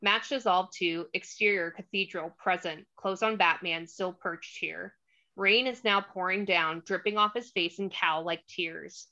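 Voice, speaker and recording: A woman reads screenplay directions in a forceful, lower-pitched voice. She sets each scene crisply and dramatically, over slightly dull, lo-fi call audio. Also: measured pace. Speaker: stage directions narrator. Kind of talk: scripted screenplay narration.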